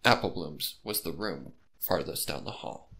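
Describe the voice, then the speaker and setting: Male story narrator, low, creaky, bright forceful tone, animated intonation, dramatic. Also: normal speed.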